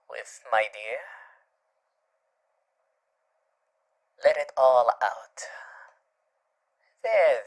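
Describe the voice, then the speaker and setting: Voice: mid-range voice, a little hiss or hum. An actor voicing a suave, theatrical male demon who soothes the listener tenderly in an ASMR roleplay, often dropping to murmurs. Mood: soothing, tender.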